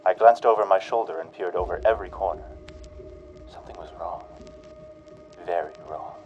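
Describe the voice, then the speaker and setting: An actor playing a 1940s radio announcer and narrator, booming through the sponsor spots, then telling his own night of terror in a hushed, tense voice. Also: normal speed, lo-fi sound, faint music behind, lightly acted. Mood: hushed dread. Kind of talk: 1940s radio drama acting.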